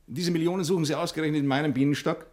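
An actor playing a shrewd village schoolteacher with a dry, needling, pointed manner in an old radio drama. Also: male voice, fairly high pitch, fairly even intonation, very fast, a little mellow, natural voice. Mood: gruff, indignant. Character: wry, gruff male village schoolteacher, shrewdly stonewalling. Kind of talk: mid-century German radio drama acting.

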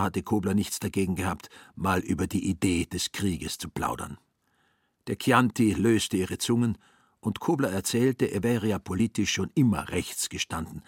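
A man's voice: low-pitched, breathy edge, no room sound, studio quality. A man narrating a literary radio play in a wry storytelling manner. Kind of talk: literary narration with acted inner monologue.

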